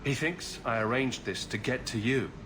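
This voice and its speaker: A man's voice: mid-range voice, moderate pace, noisy background, close to an everyday voice. An actor playing a blunt, dry-witted man in a video game, with an exasperated edge. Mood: dry, prodding.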